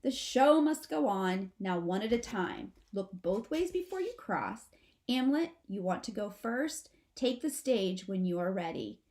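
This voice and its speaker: A woman voicing a stern mother possum from a children's storybook, commanding and deliberate like a stage director. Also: medium pitch, big pitch swings, darkish tone. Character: stern, theatrical mother possum instructing her babies. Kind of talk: children's storybook character reading.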